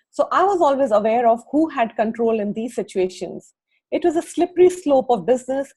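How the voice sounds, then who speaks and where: Husky, higher-pitched woman reading aloud. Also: a little mellow. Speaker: book club co-host. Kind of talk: reading a book aloud with informal comments.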